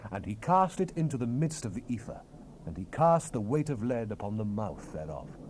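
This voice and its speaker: A man's voice: mid-range voice, sing-song, normal speed, darkish tone, poor audio. A man narrating scripture dramatically in a solemn, declamatory manner. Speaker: Bible narrator. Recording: hissy 1970s cassette rip.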